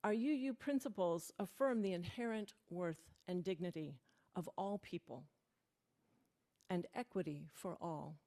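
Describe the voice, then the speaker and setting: A woman speaks from a prepared text at a worship service. She moves deliberately through her points in a slightly low, even voice. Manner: measured, deliberate delivery. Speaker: worship leader.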